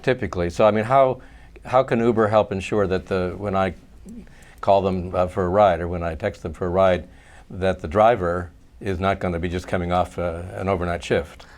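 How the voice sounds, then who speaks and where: A man moderates a panel discussion, putting questions to the guests with light humor. His voice sits very low. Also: fairly even intonation, normal speed.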